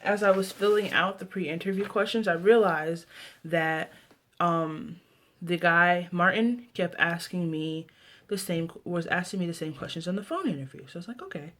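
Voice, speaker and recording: A woman's voice: on the low side, normal speed, fairly bright tone. A woman in her twenties with an American accent, chatting casually as a video blogger, rambling and often breaking off mid-thought to restart. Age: 20s. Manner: rambling, self-interrupting, trailing off. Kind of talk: casual vlog chat.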